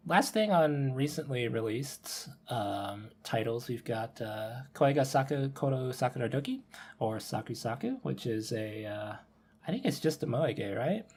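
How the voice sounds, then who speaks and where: A man with a husky voice hosts a podcast. He talks through news items casually, feeling his way along and often qualifying what he says. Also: medium pitch, animated, measured pace, darkish tone. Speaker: podcast host.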